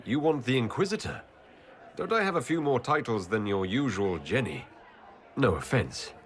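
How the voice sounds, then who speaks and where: An actor playing a composed, courteous elven leader in a video game, speaking politely with dry wit. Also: male voice, mid-range voice, sing-song, moderate pace, natural voice. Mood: calm. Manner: polite, wry. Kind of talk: video game dialogue acting.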